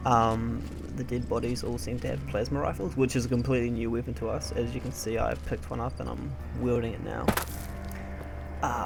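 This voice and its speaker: A young man chatting casually over gameplay in an unhurried, hesitant, low-key way. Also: gravelly. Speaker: let's play host. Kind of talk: casual gameplay commentary, rambling personal chat. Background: video game music.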